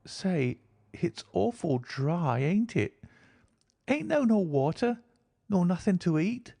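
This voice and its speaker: Male narrator putting on a small frontier girl, high sing-song kid voice, big swoops, plain folksy talk. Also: big pitch swings, slow pace, gravelly, mellow, no echo, exaggerated voice. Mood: earnest. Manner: rustic dialect, high childlike lilt. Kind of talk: audiobook character voice.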